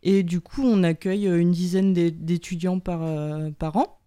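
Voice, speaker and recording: Warm, smooth-voiced woman guest, hesitant. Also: fairly low pitch, flat delivery, normal speed, no room sound. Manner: hesitant, many "euh" fillers, upbeat. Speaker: studio guest, school founder. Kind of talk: casual interview chat.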